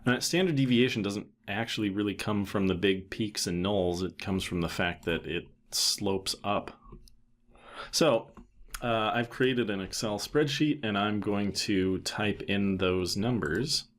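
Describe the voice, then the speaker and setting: A man in his thirties giving a casual, matter-of-fact software tutorial, thinking aloud as he goes. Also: fairly low pitch, unhurried. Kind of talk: casual screen-recorded tutorial narration.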